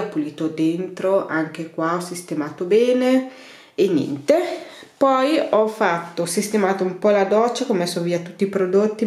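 A woman's voice: on the low side, darkish tone. A woman in her thirties, a cleaning vlogger, chatting loosely with fillers and restarts as she tidies her home bathroom, recorded on a tripod camera. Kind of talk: casual clean-with-me narration. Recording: echoey tiled bathroom.

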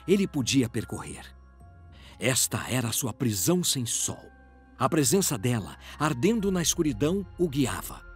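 A man with a Brazilian accent delivering solemn, theatrical scripted story narration. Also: medium pitch.

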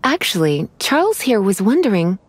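A woman voicing an elegant, teasing witch with a breathy voice and sultry, languid manner in a video game. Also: medium pitch, sing-song, normal speed, a little hiss or hum, natural voice. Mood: teasing, composed. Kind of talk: video game cutscene acting.

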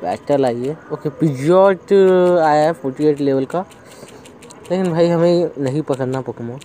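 A man's voice: fairly high pitch, a little slow, warm tone, slight background noise. A young man casually commenting on his playthrough as a gaming host, thinking aloud as he goes. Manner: chatty, thinks aloud while playing. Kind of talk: casual let's-play commentary.

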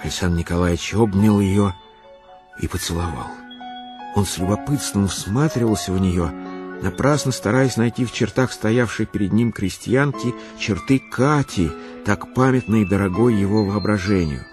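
A male actor as a narrator of a literary period tale for radio theatre. His voice is low, and music plays clearly behind him. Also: moderate pace, lightly acted. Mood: measured storytelling.

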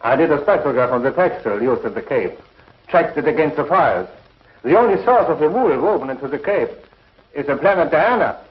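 An actor playing a sober, precise scientist who explains things matter-of-factly in a 1950s television sci-fi serial. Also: male voice, fairly high pitch, lively intonation, brisk, close to an everyday voice. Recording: dull vintage TV soundtrack.

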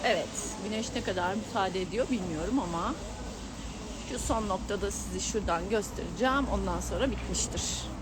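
A woman's voice: medium pitch, a little fast. A middle-aged woman chatting casually and exclaiming to her followers in a giggly travel vlog style, filming herself outdoors on a handheld gimbal. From Turkey. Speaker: vlogger and astrologer. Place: outdoors at a mountain viewpoint. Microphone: handheld camera on a gimbal. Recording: loud outdoor urban noise with vehicles.

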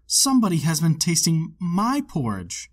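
A man plays a storybook mother bear of middling size. She speaks up loudly and indignantly, in a fairly high voice for a man. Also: huge pitch swings, moderate pace.

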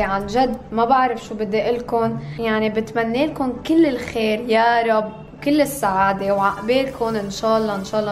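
A Lebanese woman in her thirties with a fairly high voice talks casually to her vlog. She is bubbly and effusive and slips English into her sentences. Music plays clearly behind her. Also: darkish tone. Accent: Lebanese Arabic. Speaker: vlogger.

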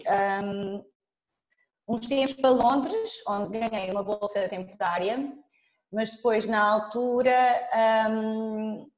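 A woman in her thirties with a European Portuguese accent talks about her work over a laptop webcam. Her voice sits a little high, and she pauses often to think. The sound carries a roomy echo from the lab room she is in. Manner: relaxed, thoughtful, with frequent pauses and fillers. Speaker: scientist guest. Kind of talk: casual interview over video call. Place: lab room with dark wall, indoors. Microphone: laptop webcam on video call. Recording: roomy echo on a video call.

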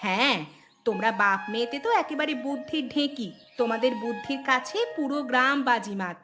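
A bossy but caring village mother, played by a woman, nags her husband and daughter in a cartoon tale. Her voice is high-pitched, and music runs behind her lines. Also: a little fast, natural voice. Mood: sarcastic. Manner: scolding, nagging, sarcastic. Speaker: voice actor. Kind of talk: cartoon dubbing.

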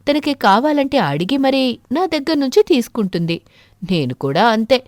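A woman voicing an earnest, puzzled crow farmer in an animated fable, troubled and questioning. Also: high voice, normal speed, dry recording, studio quality.

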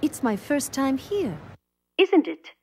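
A woman playing a cool, seductive agent of an assassin league in a video game, teasing and breathy. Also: high voice, big pitch swings, takes it slowly, a little hiss or hum, exaggerated voice. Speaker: voice actor in a game. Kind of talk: video game cutscene acting. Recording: game audio with music underneath.

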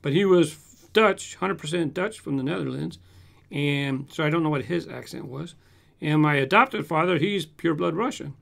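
A man's voice: on the high side, moderate pace, gravelly. A man in his fifties from Southern California, chatting casually and animatedly as a host answering viewer comments in a home room, speaking into a desk microphone. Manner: animated, gestures with hands, shows toy cars. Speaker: toy car collector channel host. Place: home room with shelves of toy cars. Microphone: large desk condenser mic in front.

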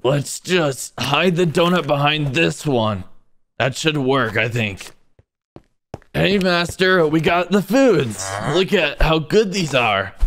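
A man's voice: fairly high pitch, animated, slow pace, fairly bright tone, slight background noise, full character voice. A man voicing an earnest young stick-figure cook who leads his friends in an amateur cartoon dub, giving instructions. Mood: worried, pleading.

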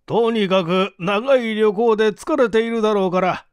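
A man's voice: high-pitched, normal speed, clear, resonant tone, no echo, studio quality, voice pushed up, natural voice. A man voicing a genial, teasing male company president in audiobook dialogue, familiar and wry.

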